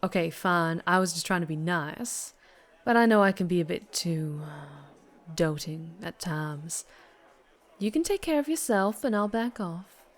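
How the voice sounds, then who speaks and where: A woman's voice: lowish voice, lively intonation, unhurried. A woman playing a flirtatious, doting but fierce vampire biker in an ASMR roleplay, with a Southern US accent and a teasing manner. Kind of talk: ASMR roleplay, intimate character monologue.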